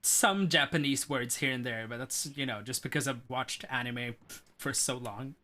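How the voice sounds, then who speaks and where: A man's voice: medium pitch, normal speed, noisy background. A man with a Norwegian accent chatting casually on stream, rambling with lots of fillers. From Norway. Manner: rambling, filler-heavy, laid-back. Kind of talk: casual chat during a speedrun stream.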